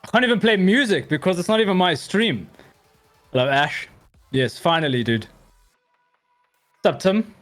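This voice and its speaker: A man guesting on a live stream, his voice fairly high and swinging widely in pitch as he chats and swears. Faint music plays in the background. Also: normal speed, pauses often. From South Africa. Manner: animated, swearing, greets chat. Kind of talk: casual stream chat.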